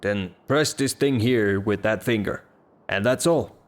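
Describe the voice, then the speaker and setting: Man playing a slow-talking blustering rustic gentleman. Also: fairly low pitch, lightly acted. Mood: instructing. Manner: declamatory, indignant, theatrical. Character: blustering, hot-tempered rustic gentleman, secretly smitten. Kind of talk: theatrical audio drama acting.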